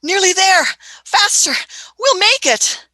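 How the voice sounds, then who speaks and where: A woman's voice: extremely high pitch, lively intonation, takes it slowly, bright, forceful tone, dry recording, full character voice. A woman playing an eccentric, authoritative scientist-adventurer in a radio play, fussy and stammering, with a condescending manner. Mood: urgent.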